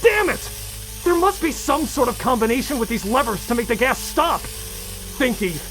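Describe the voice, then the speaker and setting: A man's voice: very high-pitched, animated, normal speed, fairly bright tone, soft background music. A man playing a wounded but protective male killer in a horror audio drama, strained, emotive and urgent. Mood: urgent, determined.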